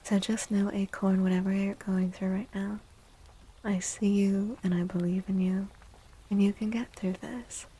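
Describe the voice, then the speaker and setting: Female ASMR livestream host. Husky, breathy, often whispered, with a soft warm tone and gentle manner. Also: mid-range voice, steady tone. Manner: gentle, unhurried. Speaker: livestream host and tarot reader.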